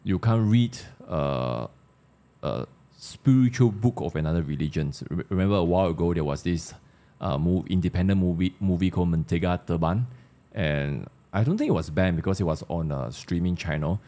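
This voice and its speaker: Deep-voiced Malaysian man, mellow and halting. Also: lively intonation. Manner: halting, repeats words as he thinks aloud. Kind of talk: casual discussion of social issues.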